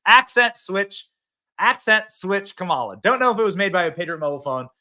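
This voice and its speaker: A man hosting a live political talk show from a phone, excitable and rambling, often repeating himself. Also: high voice, a little fast. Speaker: host.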